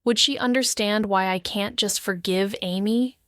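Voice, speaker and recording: A woman's voice: mid-range voice, normal speed, fairly bright tone, clear, resonant tone, no echo, studio quality, natural voice. A woman playing a shaken, sardonic young woman in an intimate first-person audio drama monologue, wry, introspective and profane. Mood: distant, focusing. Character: shaken, wounded, sardonic young woman, med-school dropout.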